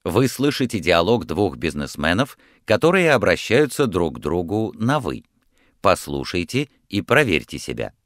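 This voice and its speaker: Deep-voiced man reading course instructions. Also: big pitch swings, no room sound, clean studio sound. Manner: clear, measured instructional delivery. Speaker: course narrator giving instructions. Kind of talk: scripted language-course instructions.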